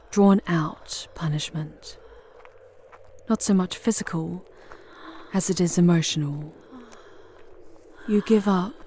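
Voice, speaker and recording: A woman playing a charming, seductive demon guide through hell in an intimate ASMR roleplay, with theatrical, formal phrasing and breathy delivery that often drops into whispers. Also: on the low side, warm tone, slight background noise. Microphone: close ASMR microphone.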